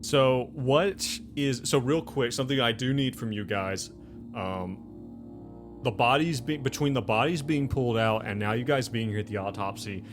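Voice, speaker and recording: A man's voice: medium pitch, moderate pace, over music. A man hosting a tabletop game as game master, explaining and narrating in a casual conversational style. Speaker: game master and show host.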